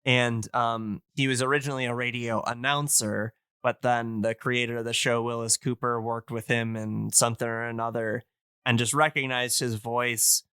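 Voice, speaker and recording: A man with a bright, clear voice, recorded close and dry. He talks in a casual podcast style, enthusiastic but halting, often searching for the right word. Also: mid-range voice, dry recording.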